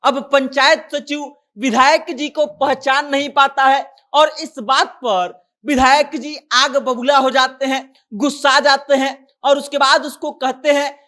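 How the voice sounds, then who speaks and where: The voice is notably high in pitch. The speaker presents news as informal commentary, retelling events in a conversational way and relaying who said what. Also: fairly even intonation, unhurried, hardly any pauses, pushed and bright. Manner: conversational retelling, acting out quoted dialogue. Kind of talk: informal news commentary recounting a viral story.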